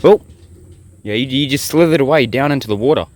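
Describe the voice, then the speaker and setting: A man's voice: medium pitch, fairly quick. Young male vlogger, casual and rambling, outdoor handheld sound with insect noise behind. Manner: rambling, relaxed, talks straight to viewers. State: sick, blocked nose and sore throat. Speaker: bushcraft vlogger. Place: outdoors in the bush.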